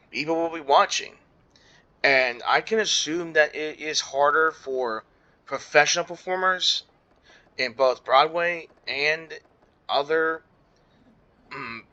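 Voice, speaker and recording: A man giving casual, rambling game commentary, halting and reacting as he goes. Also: fairly high pitch, bright, forceful tone, highs cut off. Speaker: gaming video maker. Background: game music.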